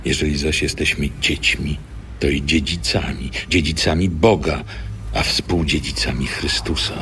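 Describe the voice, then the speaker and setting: Grave, very deep, gravelly apostle. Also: male voice, steady tone, pushed and bright, a little hiss or hum, exaggerated voice. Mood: earnest. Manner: grave, emphatic, deliberate. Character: stern, earnest apostle teaching his followers. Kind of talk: dramatized audio Bible monologue.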